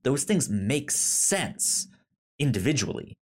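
A man co-hosting a casual TV review podcast, speaking haltingly and often restarting his words. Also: mid-range voice, sing-song, darkish tone. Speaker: podcast co-host.

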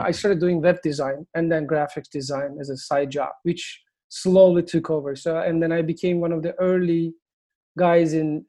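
Turkish-accented male founder, mellow tone, halting speech. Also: a little high. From Turkey. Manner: halting, many fillers and restarts. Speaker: agency founder, guest. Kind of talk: interview answers.

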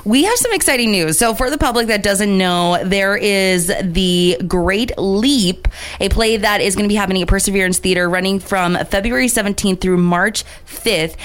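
A woman's voice: medium pitch, normal speed, a bit bright. A woman hosting a friendly studio interview on the radio in an informal, encouraging, chatty way. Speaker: radio talk show host.

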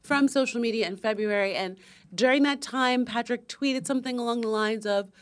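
A woman with a youthful, slightly high voice chats casually as a podcast co-host. She spins animated stories with playful mock indignance. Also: fairly quick. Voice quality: young-sounding voice. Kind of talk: casual chat.

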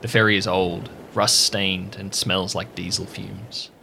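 A man narrating a tabletop role-playing story as game master, measured and atmospheric, with music underneath. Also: deep voice, little pitch movement, gravelly, sharp tone, slight background noise.

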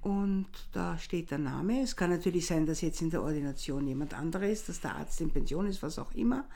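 A woman's voice: fairly low pitch, fairly quick, gravelly, warm tone. A woman with a Viennese accent, a phone sales representative answering podcast questions and often checking in with "ja?". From Austria. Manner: explains with practical examples, often checks in with "ja?". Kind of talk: podcast interview.

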